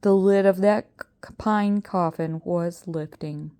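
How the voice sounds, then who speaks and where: Slow, lowish-voiced older woman storyteller. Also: steady tone, mellow, smooth. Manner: suspenseful, dramatic storytelling. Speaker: storybook reader. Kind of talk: read-aloud story narration.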